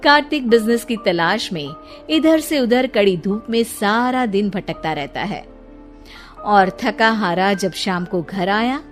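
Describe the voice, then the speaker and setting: A woman's voice: mid-range voice, animated, a little slow, faint music behind. A woman reading scripted narration for a cartoon story.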